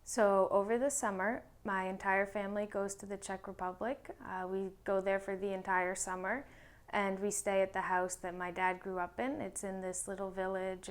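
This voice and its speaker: A woman's voice: medium pitch, smooth. A young woman speaking steadily and matter-of-factly in a personal interview. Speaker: interviewee, daughter.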